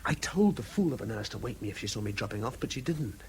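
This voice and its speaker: An actor playing an exhausted, anxious English army officer in a radio drama, urgent and halting in his speech. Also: lowish voice, lively intonation, gravelly, slight background noise, low-quality recording. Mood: agitated, guilty. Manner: halting, repeats himself under strain. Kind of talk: British radio drama acting.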